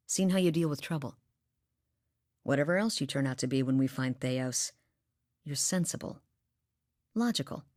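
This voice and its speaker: A seasoned huntress from a distant southern island, speaking low and deep with dry, wry practicality. Her lines come from a video game, recorded close and dry in a studio. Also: darkish tone, no echo, heavily acted. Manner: wry, dry, matter-of-fact. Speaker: game companion character. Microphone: close studio mic.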